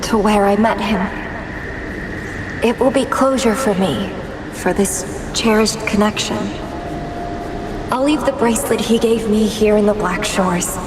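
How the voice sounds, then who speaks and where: An actress playing a brooding, intense young woman warrior in a video game, delivering a deliberate, introspective, melancholy monologue. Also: mid-range voice, background noise, close to an everyday voice. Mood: wistful, resigned. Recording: echoey long reverb, loud music. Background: loud game soundtrack music.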